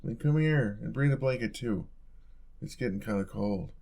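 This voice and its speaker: A young man playing an affectionate, playful roommate in an intimate audio roleplay, talking in a soft, casual, teasing way. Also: medium pitch, normal speed, vocal fry. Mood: gentle, caring.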